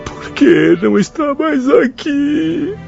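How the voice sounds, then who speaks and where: An actor playing a grief-stricken, remorseful former professor and spirit in a radio drama, crying and pleading in literary speech. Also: male voice, extremely high pitch, big pitch swings, slow pace, gravelly, heavily acted. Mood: sobbing, pleading. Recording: dull sound with music behind.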